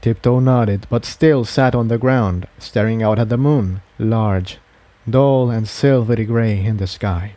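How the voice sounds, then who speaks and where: A man reading scripted story narration as a fan fiction narrator, in a measured manner. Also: lowish voice, mellow, no echo.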